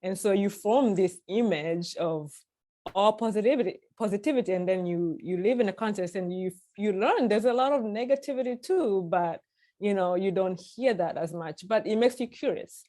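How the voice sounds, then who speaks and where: A woman's voice: medium pitch, moderate pace, mellow. A woman from Tanzania answering questions on a webinar panel over a video call, earnest, with many ums and restarts. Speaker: church policy panelist.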